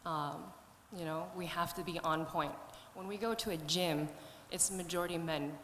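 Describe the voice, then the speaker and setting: A woman's voice: fairly low pitch, moderate pace, fairly bright tone. A woman fighter speaking casually and forthrightly at a press conference. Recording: echoey hall, faint noise.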